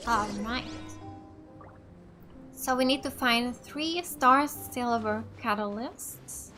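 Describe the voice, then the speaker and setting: A woman from Finland with as her hosts a casual let's play. Her voice is high and she takes her time as she comments, with faint music behind her. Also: takes it slowly, bright, forceful tone. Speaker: gamer, let's play host.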